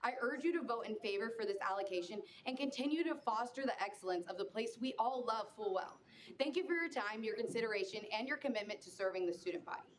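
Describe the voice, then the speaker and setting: A woman's voice: on the high side, quick pace, noisy background. A young woman delivering a formal, rehearsed persuasive speech in favor of a funding bill. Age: young adult. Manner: formal, rehearsed, polished cadence. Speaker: student speaker for a funding bill. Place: student senate meeting room.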